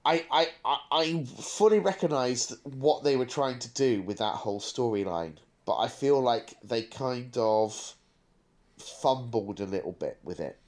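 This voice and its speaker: A man talks casually as a podcast co-host, his voice sitting at a medium pitch. He offers firm opinions, but gets to them through stammered, repeated starts. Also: lively intonation, unhurried, darkish tone. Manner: hesitant stammering restarts, opinionated.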